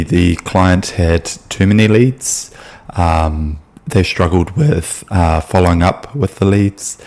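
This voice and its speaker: Brief: young New Zealand man, deep voice, relaxed chatty explainer delivery. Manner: loose and chatty, with many ums and restarts. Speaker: sales consultant. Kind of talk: casual explainer video.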